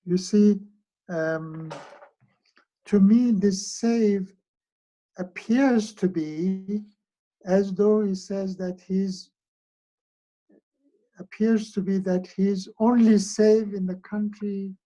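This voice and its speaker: A man leading a study group on a video call, talking slowly and hesitantly with many fillers and restarts. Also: sits high, steady tone, dark tone, poor audio.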